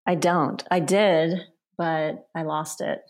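A middle-aged woman, a photographer born in Sweden and raised in the United States, talking in a casual, unhurried way with frequent pauses and restarts. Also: low voice, fairly even intonation. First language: Polish. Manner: unhurried, hesitant, many ums and restarts. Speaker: guest, photographer. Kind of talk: casual interview chat.

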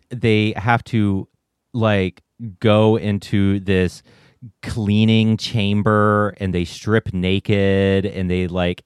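A man's voice: low voice, smooth, no echo, studio quality. A man hosting a podcast interview in a casual, conversational style. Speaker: podcast host.

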